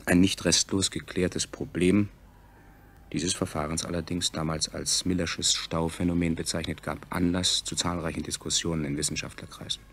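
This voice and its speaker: An actor playing the solemn chronicler of a subjugated future people, narrating a scripted science fiction radio drama in a measured, declamatory way with a gravelly, husky voice. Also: male voice, low voice, flat delivery, a bit bright. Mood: factual, grave.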